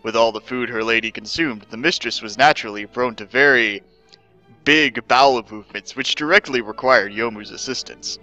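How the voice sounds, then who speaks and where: A man's voice: mid-range voice, normal speed, sharp tone, soft background music, low-quality recording. A man reading a scripted story as narrator, in a mock-serious, theatrical dramatic style.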